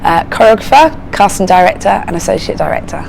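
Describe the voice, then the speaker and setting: A woman's voice: mid-range voice, animated, a little fast, gravelly, noisy background. A woman working as a choreographer, answering interview questions in an upbeat, emphatic way. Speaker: choreographer and casting director.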